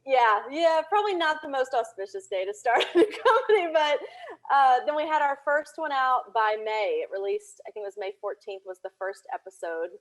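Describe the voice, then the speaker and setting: A woman's voice: sits high, sing-song, moderate pace. A woman guest on a podcast, telling her story in a casual, informal interview style. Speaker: podcast guest, audio drama producer and writer.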